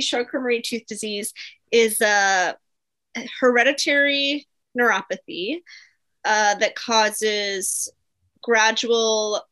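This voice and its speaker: Bright-voiced young woman explaining. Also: mid-range voice, low-quality recording. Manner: explanatory, relaxed, with ums. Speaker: guest. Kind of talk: casual interview answers.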